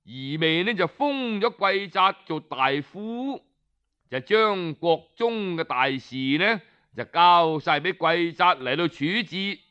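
High-voiced, unhurried Cantonese male storyteller. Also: clear, resonant tone. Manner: expressive, colloquial, with rhetorical interjections. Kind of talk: traditional Cantonese storytelling of historical tales, audiobook narration. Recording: dull lo-fi audio.

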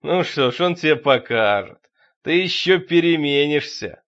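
A man voicing a crazed, menacing sexton, a fanatical zealot who taunts loudly with drawn-out words in a horror audiobook. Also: fairly high pitch, animated, dull audio. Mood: taunting.

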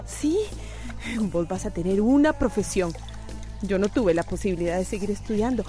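A woman's voice: medium pitch, expressive, moderate pace, dark tone, natural voice. A woman playing a harried, strict and protective Costa Rican mother in a radio drama, scolding and pleading. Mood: stern, pleading. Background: music.

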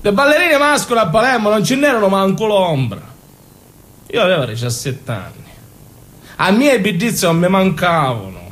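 A man's voice: high voice, sing-song, normal speed, a bit bright. A man acting a naive, passionate young Sicilian man in an intense, confessional stage monologue with a Sicilian accent. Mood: wistful recollection. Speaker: stage actor. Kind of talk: theatrical monologue in dialect.

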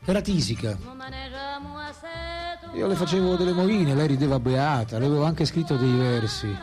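An actor playing an ageing, self-absorbed philosopher in a radio drama, speaking lines in a theatrical, deliberate, emphatic way. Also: male voice, a little high, expressive, over music, close to an everyday voice. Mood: wistful, animated. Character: famous philosopher as old man, wry, intense, self-absorbed.

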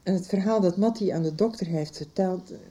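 A woman's voice: lowish voice, brisk, dark tone, lightly acted. A woman acting a worried, insistent middle-aged mother in a radio soap. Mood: grave, insistent.

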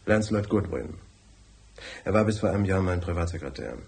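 Deep-voiced, brusque businessman. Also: animated, a little fast, vocal fry, darkish tone. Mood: terse, confiding. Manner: curt, formal, bossy. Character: brusque, tight-fisted, wealthy businessman client. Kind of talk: radio drama acting.

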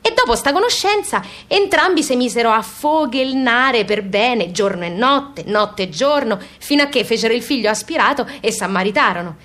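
An actress voicing a pompous, unschooled emigrant with a southern Italian accent, reciting a grandiloquent letter full of mangled formal words in a radio play. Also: female voice, fairly high pitch, animated, moderate pace, few pauses, fairly bright tone. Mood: grand storytelling. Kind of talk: theatrical comic recitation.

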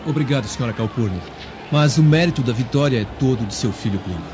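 A man plays a humble slave in a Roman radio drama. He speaks quickly and formally, with a deferential manner, in a dull recording with noisy surroundings. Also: medium pitch, expressive, lightly acted. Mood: respectful. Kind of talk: theatrical radio drama acting.

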